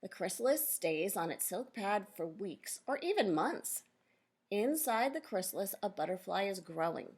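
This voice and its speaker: A woman reading a children's nonfiction book aloud as a teacher, pausing to explain and question her young listeners directly. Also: medium pitch, very animated, a little mellow, husky.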